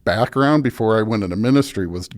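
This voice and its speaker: A man's voice: fairly low pitch, expressive, quick pace. A middle-aged American man chatting casually and telling anecdotes as an interview guest on a podcast.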